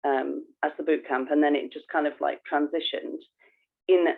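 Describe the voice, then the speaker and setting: A woman's voice: deep voice, fairly even intonation, normal speed, vocal fry. Phone-like woman, casual podcast co-host. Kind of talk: casual conversational podcast chat. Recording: thin, narrow phone-like line.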